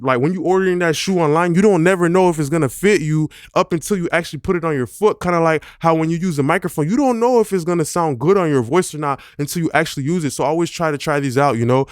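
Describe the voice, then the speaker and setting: A music producer talking gear with a man's fairly high-set voice, loose and slangy, dropping plenty of fillers as he goes. The sound is dry and close, with no room around it. It comes across as an informal review chat to camera. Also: fast talker, hardly any pauses, no room sound. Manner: loose, conversational, many "you know" fillers. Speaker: music producer and mixing engineer.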